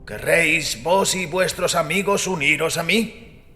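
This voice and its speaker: Courteous old priest, pitched high. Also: male voice, unhurried, pushed and bright, big-room sound, a little hiss or hum. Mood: welcoming. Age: older. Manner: courteous, formal archaic speech. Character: elderly arthritic priest, gentle, good-humored, last of his church. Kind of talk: audiobook character acting.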